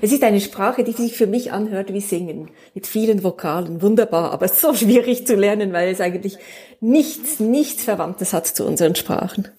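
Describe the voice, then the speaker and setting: Chatty Swiss woman with a mellow voice. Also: medium pitch, moderate pace. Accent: Swiss German. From Switzerland. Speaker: crime author guest. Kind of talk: casual podcast chat.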